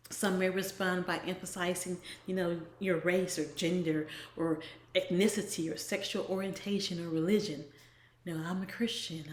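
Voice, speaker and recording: A woman with a fairly low voice speaks earnestly and at a measured pace, like a devotional blogger encouraging her viewers. Her phrases often fade into a soft, breathy hush. The recording is echoey and sounds like a bare room. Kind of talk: inspirational talk to camera.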